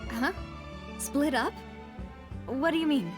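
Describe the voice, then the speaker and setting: A determined young lady who leads with poise in a video game. The voice is high, expressive and clearly phrased. Music plays behind it. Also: slow. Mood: pondering.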